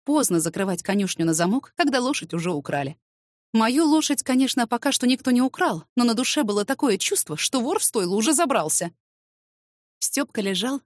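A woman's voice: fairly high pitch, expressive, a little fast, no echo, studio quality. A woman reading first-person fiction expressively as an audiobook narrator.

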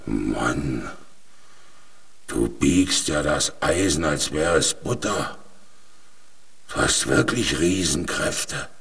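A weary old drifter for a children's radio play. His voice is low and rough, set a little back from the microphone. He talks plainly and with resignation, like one who has accepted his lot. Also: expressive, fairly bright tone, recorded from a distance, heavily acted. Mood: impressed, wry. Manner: resigned, slow to be impressed, plain-spoken. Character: resigned, weary old male vagabond in a jail cell.

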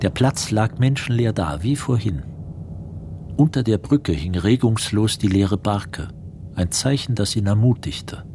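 A man narrating a literary radio drama in a measured storytelling manner. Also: lowish voice, animated, dark tone, a little hiss or hum. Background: instrumental music.

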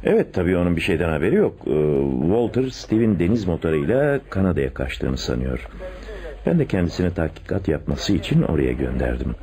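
An actor playing a calculating police chief with a secret lover, deliberate and probing, in a radio drama. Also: male voice, low-pitched, very animated, dark tone. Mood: conspiratorial. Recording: radio drama with audible background noise.

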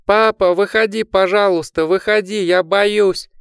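A man's voice: mid-range voice, animated, normal speed, no echo, clean studio sound, pitched above the performer's own voice, natural voice. A man voicing a feverish, frightened young man who pleads and whimpers. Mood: terrified.

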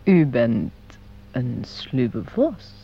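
Imperious widow with swooping pitch. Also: female voice, big pitch swings, extremely slow, a little hiss or hum, full character voice. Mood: accusing, knowing. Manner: haughty, formal, theatrical declamation. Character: imperious, scheming widow and company director. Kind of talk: Dutch radio play acting. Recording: dull, muffled radio play recording.

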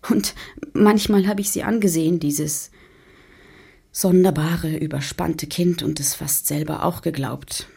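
Eine Schauspielerin spielt in einem Hörspielmonolog eine müde alleinerziehende Mutter mittleren Alters, rau, nachdenklich und vertraulich. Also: female voice, steady tone, a little slow, mellow, heavily acted. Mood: weary, reflective. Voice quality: husky, low. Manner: reflective, confiding. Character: weary single mother doubting her strange daughter. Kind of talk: radio drama monologue.

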